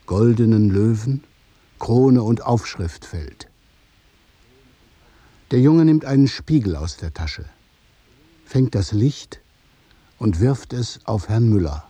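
A man narrating a literary radio play in measured, observational short phrases. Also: on the low side, dark tone, husky, dry recording, exaggerated voice.

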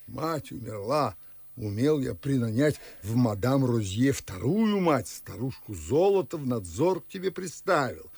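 An older man acting a pompous, fretful, scolding widowed father in a classic verse radio play, with a husky, gravelly voice and a theatrical manner. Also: mid-range voice, no echo, full character voice. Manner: blustering, theatrical.

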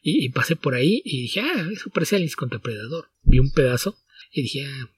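Male podcast co-host, husky tone, mic at some distance, dry relaxed delivery. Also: mid-range voice, a little fast. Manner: relaxed, wry, reads listener questions aloud. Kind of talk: casual comics chat.

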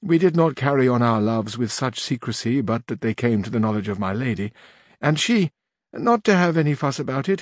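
A man's voice: darkish tone, no room sound, studio quality, lightly acted. A man reading the part of an elderly widowed duenna who tells her story at length and turns tearful. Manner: long-winded, earnest, rises higher when weeping. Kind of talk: audiobook narration.

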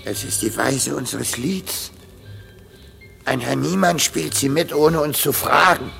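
A man's voice: medium pitch, fairly even intonation, measured pace, gravelly, a bit bright, soft background music. An actor playing a cautious, grumbling male fool in a classic radio play, hesitant and grudging in delivery. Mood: nervous.

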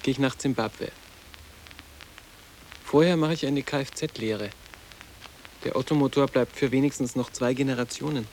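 A man's voice: medium pitch, fairly even intonation, a little slow, long pauses, darkish tone, a little hiss or hum, natural voice, poor audio. A male actor playing a sarcastic, idealistic schoolboy in a radio play, speaking in terse, dry statements. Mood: determined. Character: sarcastic, idealistic teenage schoolboy resisting his elders. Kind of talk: literary German radio play acting.

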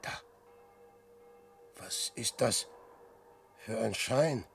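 An actor playing a despairing, boastful old man facing death, declaiming verse in a brooding, theatrical radio drama style with a gravelly, husky voice. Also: lowish voice, drawn-out words, a little hiss or hum, heavily acted. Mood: agitated, defiant. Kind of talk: 1960s German radio drama verse acting.

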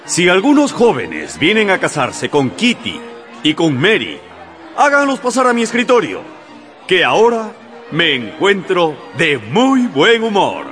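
An actor playing a teasing, affectionate old father in a Spanish-language radio drama, animated and playful. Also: high-pitched, measured pace, faint music behind, a faint crowd, full character voice. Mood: jovial, booming.

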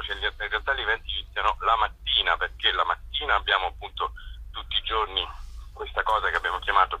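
A man's voice: fairly low pitch, sharp tone, breathy edge, no echo, rough recording. A man chatting informally as a radio interview guest, in a loose, rambling way. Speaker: theatre festival co-director, interview guest. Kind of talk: casual radio interview chat.

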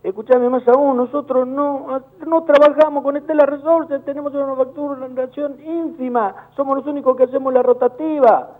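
A man's voice: very high-pitched, steady tone. Indignant Argentine man on a tinny phone line. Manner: indignant, repeats words in rapid bursts. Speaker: business chamber director on a call. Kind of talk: heated phone-in interview. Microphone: telephone.

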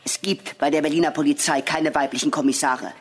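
A man's voice: on the high side, fairly even intonation, fairly quick, faint music behind, natural voice. Cold, officious examination chairman played by a man. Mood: stern, dismissive. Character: stern, dismissive male examination board chairman in 1930. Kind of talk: German radio drama acting.

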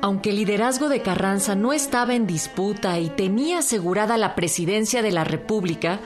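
A woman delivers scripted historical narration for a radio drama. Her pitch is average and music runs clearly underneath. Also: a little fast.

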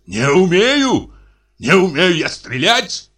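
A man voicing a spiteful, hot-tempered retired navy captain in a piercing, shouting tenor for a story. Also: fairly high pitch, lively intonation, unhurried, pitched above the performer's own voice. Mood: shrill, spiteful. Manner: shouting, scolding, jeering. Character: furious spiteful brother, shrill retired navy captain, scandalmonger.

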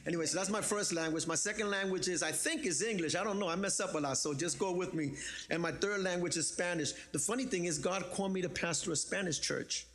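A pastor from the Bronx, preaching a sermon in a lively, joking, conversational way. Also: male voice, sits high, quick pace. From the Bronx, New York.

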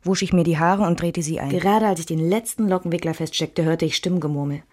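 A woman's voice: on the low side, fast talker, a little mellow, no room sound, clean studio sound, natural voice. An actress playing a weary wife and mother who recalls her troubled marriage in restrained, literary radio play narration. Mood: restrained, reflective.

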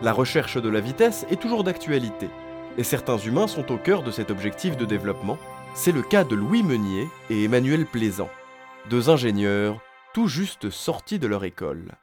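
A man's voice: mid-range voice, sing-song, moderate pace, music in the background. A man delivering scripted narration in the manner of a documentary introduction to an audio drama.

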